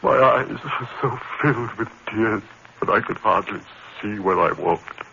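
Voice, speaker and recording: A man's voice: fairly low pitch, measured pace, creaky, bright, forceful tone, highs cut off, slight background noise, full character voice. A man playing an anguished, haunted murderer in overwrought 1950s horror drama style, on an old broadcast recording. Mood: grief-stricken, resigned. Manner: frantic, melodramatic, overwrought. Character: anguished condemned murderer haunted by a demon woman.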